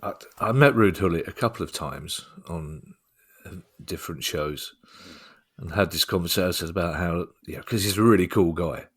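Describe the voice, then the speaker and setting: A man's voice: low-pitched, moderate pace, slightly rough. A man hosting a podcast, chatting in a jokey, casual way and reading out listener questions. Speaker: podcast host.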